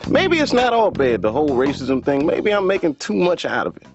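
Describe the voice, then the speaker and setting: A man's voice: a little high, sing-song, a little fast, music in the background. A man playing a wry therapy patient in a cartoon, telling his worries as an emphatic, conversational stand-up routine. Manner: emphatic, conversational comic storytelling. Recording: dull, lo-fi audio.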